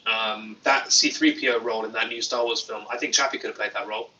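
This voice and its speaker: A man hosting a casual livestream, reading viewer comments with a deadpan, playful manner and jokey asides. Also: on the low side, quick pace, distant mic, slight background noise, low-quality recording. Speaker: YouTuber livestream host.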